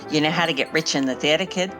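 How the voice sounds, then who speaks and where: A woman's voice: low-pitched, quick pace, close to an everyday voice. An actress playing a brassy, worldly-wise gossiping theatre woman in a radio play, full of slangy wisecracks. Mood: wry, admiring. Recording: music behind the voice.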